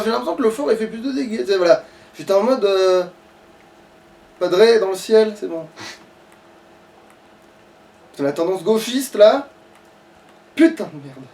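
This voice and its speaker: A man's voice: very high voice, darkish tone, distant mic. A man giving casual, slangy gaming commentary as he plays, grumbling and swearing freely. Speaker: let's play streamer playing the game.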